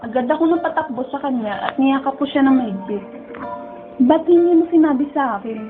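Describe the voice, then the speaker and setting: A woman's voice: high voice, animated, brisk. A woman playing a sensitive, lonely young female student who narrates her own story in an emotional radio drama, earnest and dramatic. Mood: concerned. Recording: muffled phone-like sound with music underneath.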